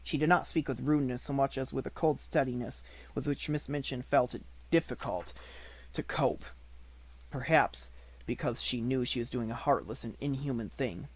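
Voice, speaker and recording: A man's voice: medium pitch, normal speed, rough recording. A man reading scripted narration from a children's novel as an audiobook narrator.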